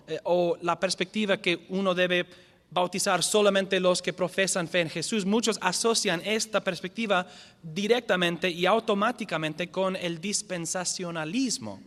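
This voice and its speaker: A high-pitched man teaches a church lesson as pastor. He is a non-native speaker who restarts words and repeats his key definitions slowly. His voice rings with the long reverb of a church hall. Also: fairly bright tone. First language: not the language spoken. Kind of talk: church lecture.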